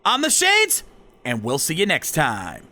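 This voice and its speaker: A man's voice: on the high side, wildly swooping pitch, a little slow, pushed and bright. A man hosting a scripted commentary in an earnest, emphatic, encouraging way. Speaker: video host and aspiring voice actor. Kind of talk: scripted commentary to camera or microphone. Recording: music behind the voice. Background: background music.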